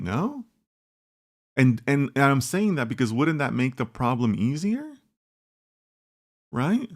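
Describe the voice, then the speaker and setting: A male livestream host with a gravelly, fry-edged voice at an ordinary middle pitch. He talks casually and thinks out loud, circling back on his points with lots of "like" and questions to his viewers. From United States. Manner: rambling, conversational, many "like" and "right?" tags. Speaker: host of a live data science chat. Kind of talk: casual livestream chat reading viewer comments.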